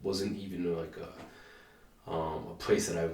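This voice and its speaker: Deep-voiced man asking halting questions. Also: steady tone, a little mellow, slight background noise. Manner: hesitant, halting questions full of fillers. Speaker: interviewer. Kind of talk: casual interview conversation. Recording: echoey room, distant mic.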